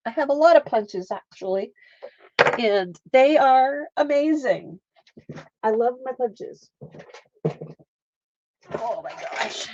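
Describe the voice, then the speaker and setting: A woman's voice: high-pitched, animated, slow pace, long pauses, mellow, no echo, muffled recording. A woman hosting a casual live art stream, chatting and laughing with viewers as she works, with a slight Canadian accent. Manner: chatty, giggly, talks to viewers while crafting.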